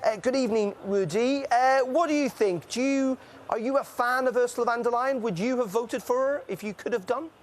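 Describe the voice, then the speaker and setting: A man hosts a live news broadcast, speaking unscripted and filling pauses with frequent ums and uhs. He sounds conversational rather than polished, with a faint layer of noise behind him. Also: very high-pitched. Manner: loose, filler-heavy live delivery. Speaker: news presenter.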